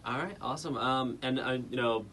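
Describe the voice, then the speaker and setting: A man's voice: mid-range voice, fairly quick, sharp tone, slight background noise. A man interviewing a guest on the radio in a friendly, casual way.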